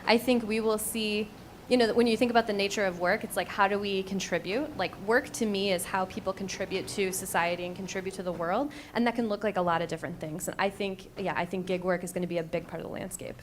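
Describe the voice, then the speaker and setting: A woman's voice: medium pitch, steady tone, fast. Woman executive on panel, mid-range voice, fluent explanations, faint noise. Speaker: company co-founder and panelist. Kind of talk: panel discussion answers.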